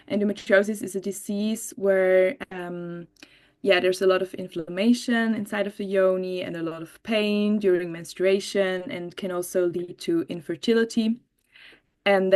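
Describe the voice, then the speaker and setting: A young woman from Switzerland with a Swiss German accent, a new medical doctor, giving an online workshop in a relaxed way with frequent fillers and giggles. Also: mid-range voice. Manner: warm, giggly, many fillers. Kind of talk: casual online workshop talk. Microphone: video call.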